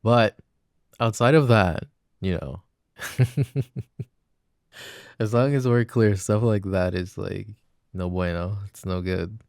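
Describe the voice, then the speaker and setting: A man's voice: on the low side, steady tone, slow pace, gravelly, long pauses, darkish tone, no room sound, studio quality. A young man playing an apologetic, adoring jealous boyfriend in an intimate roleplay, speaking in a casual, hesitant murmur. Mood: sheepish, earnest. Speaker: voice actor.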